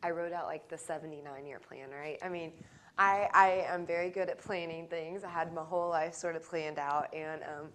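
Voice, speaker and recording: A woman musician in an interview, speaking earnestly and reflectively with many ums and pauses. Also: low voice, fairly even intonation, fairly bright tone.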